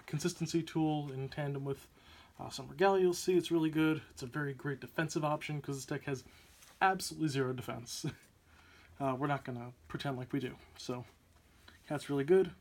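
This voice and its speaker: A young man hosting a card game channel, slightly hoarse from a sore throat, talking casually and upbeat with lots of fillers. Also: a little high. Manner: chatty, upbeat, many "uh" fillers. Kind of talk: casual deck profile walkthrough.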